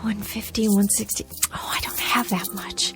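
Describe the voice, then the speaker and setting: A woman's voice: mid-range voice, level tone, moderate pace, in a whisper, over music, natural voice. An actress playing an anxious, polite woman traveler in an audio drama, hesitant and stammering. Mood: flustered.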